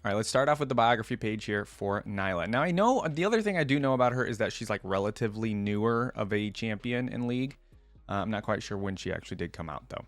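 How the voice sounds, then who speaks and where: A man's voice: on the low side, expressive, fast talker, no echo, soft background music. A man hosting a gaming reaction video, moving between casual, think-aloud commentary and reading text aloud in a conversational way. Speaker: video host.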